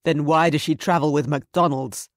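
A narrator voicing a menacing, commanding Highland warrior chief with imperious, archaic phrasing for an audiobook. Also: fairly even intonation, moderate pace, dry recording, clean studio sound, natural voice. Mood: suspicious.

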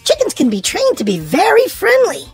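A woman reads a children's storybook aloud in an expressive, sing-song way, leaning on key words for young listeners. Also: extremely high pitch, moderate pace, soft background music.

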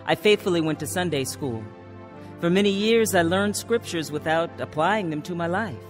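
A woman's voice: on the low side, moderate pace, soft background music, lightly acted. An actress playing an earnest woman telling of her troubled youth in a radio drama. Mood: reflective. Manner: earnest, expressive. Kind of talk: first-person radio drama narration and acting.

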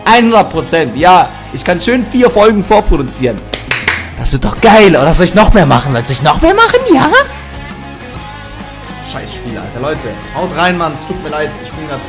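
A man's voice: a little high, big pitch swings, normal speed. A man in his twenties ranting and cursing as he plays and comments for his viewers, recorded at home on a studio microphone. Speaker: gaming YouTuber. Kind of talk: angry let's-play commentary. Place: small room at home. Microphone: studio mic on arm with headphones. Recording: game music loud behind voice.

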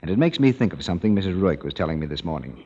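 Man, radio announcer, very deep, husky, lively, salesy, muffled old broadcast with hall echo. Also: racing. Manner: smooth, salesy, formal. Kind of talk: 1930s radio announcing and commercial.

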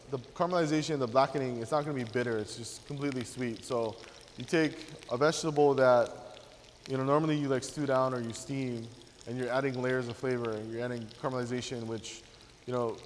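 A man's voice: medium pitch, a little fast, slight background noise. Guy chef from hawaii doing a cooking demo, laid back, lots of ums, big echoey hall. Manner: relaxed, casual, many fillers and restarts. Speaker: guest chef. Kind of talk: cooking demonstration talk. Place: large teaching kitchen.

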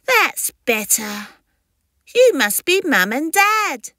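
A woman's voice: high-pitched, big pitch swings, slow, bright, forceful tone, no room sound, studio quality, pitched above the performer's own voice, heavily acted. A woman voicing a bold, stubborn baby boy dinosaur who exclaims and insists in a children's storybook. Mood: cheerful, curious.

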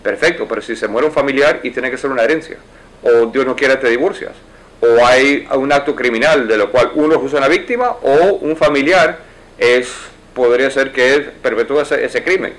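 A man's voice: medium pitch, moderate pace, a bit bright, low-quality recording. Man in his forties answering interview questions. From United States. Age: 40s. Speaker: lawyer and judicial candidate. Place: wood-paneled office, indoors.

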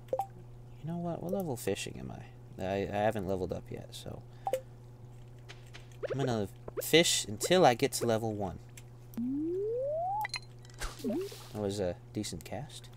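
A man's voice: on the low side, creaky, noisy background. A man casually commenting while playing a farming game, laid-back and rambling, often calling people "man". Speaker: gameplay streamer. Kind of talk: casual let's-play commentary.

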